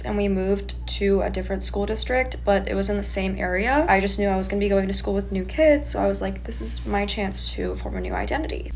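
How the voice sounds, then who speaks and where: A woman's voice: mid-range voice, slight background noise. A young adult woman from the US South, candidly telling a personal story to camera in a casual, chatty way with frequent "like" fillers. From Georgia, US South. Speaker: vlogger.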